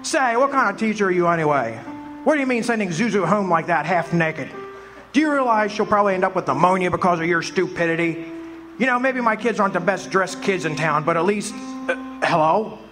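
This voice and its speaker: A man's voice: high-pitched, animated, brisk, pushed and bright, soft background music. An actor playing a desperate, distraught family man in a live radio play. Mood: agitated. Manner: pleading, agitated. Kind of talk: live radio drama acting.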